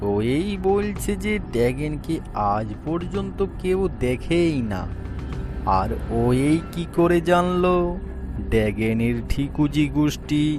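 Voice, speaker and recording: A man's voice: on the high side, lively intonation, slow pace, music in the background. A man voicing a suspicious, alert young boy in a cartoon story, speaking in a wary and urgent way.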